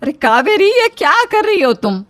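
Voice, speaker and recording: A woman's voice: very high-pitched, very animated, normal speed, a bit bright, pitched above the performer's own voice, heavily acted. A woman voicing a bossy, worried middle-aged mother who scolds and pleads in a cartoon story. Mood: exasperated.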